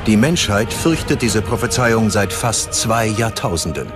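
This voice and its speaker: A man narrates a documentary from a script. His voice sits low, and music plays clearly behind him throughout. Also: animated, measured pace.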